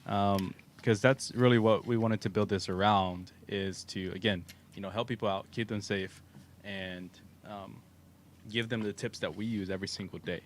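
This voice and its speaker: Deep-voiced Texan man chatting on a stream. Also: moderate pace. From Texas. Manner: relaxed, rambling, with fillers and laughs. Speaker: co-host of a crypto livestream. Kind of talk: casual livestream chat. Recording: faint background noise.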